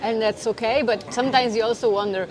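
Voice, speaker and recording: A woman hosting a casual interview, talking in a relaxed, agreeable way with many affirmations. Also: a little high, fast talker, rough recording. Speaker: podcast host, interviewer. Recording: crowd chatter behind.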